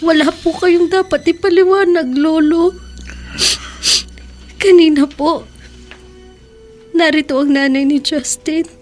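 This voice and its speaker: A vulnerable young woman in love in a radio drama. Her voice is high, soft and earnest, with a pleading quality. Light music sits behind her. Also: fairly even intonation, takes it slowly, pauses often. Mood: resigned.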